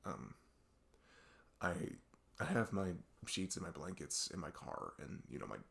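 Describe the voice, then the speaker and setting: Troubled male best friend for ASMR roleplay, acted by a man: deep, creaky, hesitant, apologetic, stammering. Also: sing-song, a bit bright, full character voice. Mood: downcast, hesitant. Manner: hesitant, halting, stammering, sighing. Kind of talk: intimate ASMR audio roleplay.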